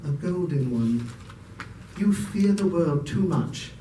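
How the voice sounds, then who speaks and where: A man voicing a gentle, sorrowful young woman in a storybook reading, measured and reproachful. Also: a little high, animated, a little hiss or hum. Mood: sad, resolute. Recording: echoey room, distant microphone.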